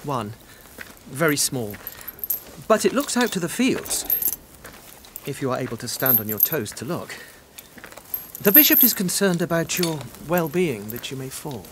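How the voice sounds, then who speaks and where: Earnest, higher-voiced medieval priest. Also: male voice, very animated, unhurried, pauses often, background noise. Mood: gentle. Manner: polite, gentle, earnest. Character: earnest, kindly medieval priest escorting a prisoner. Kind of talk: audio drama acting.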